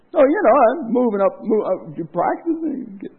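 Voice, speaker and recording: Raspy high-pitched preacher on tinny audio. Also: male voice, very animated, moderate pace. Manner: impassioned, rising to loud emphasis then dropping low. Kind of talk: sermon. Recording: tinny phone-like lo-fi sound.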